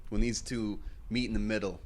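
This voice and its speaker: A man's voice: lowish voice, steady tone, a little fast, a little mellow. A man co-hosting a casual MMA podcast, talking in hyperbolic, slangy hype with some swearing. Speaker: podcast co-host.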